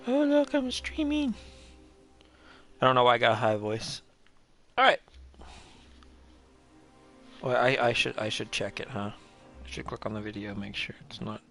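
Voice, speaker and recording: A man chats loosely while livestreaming a game, rambling, joking at his own expense and swearing offhandedly. His voice is gravelly with a bright, forward edge. Faint game music sits in the background. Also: mid-range voice, very animated, measured pace, long pauses. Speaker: game streamer. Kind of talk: casual livestream chat.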